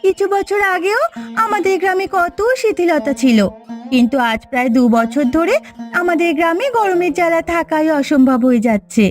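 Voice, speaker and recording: A woman's voice: very high voice, lively intonation, normal speed, a little mellow, soft background music. A woman voicing a discontented, covetous village wife who complains, in a cartoon fairy tale. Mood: complaining.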